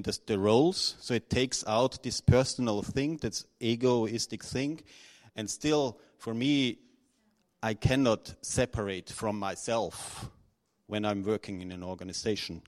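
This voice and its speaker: A middle-aged man from Austria, speaking unscripted to an audience, halting and nervous, with many restarts and fillers. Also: mid-range voice. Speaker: conference speaker.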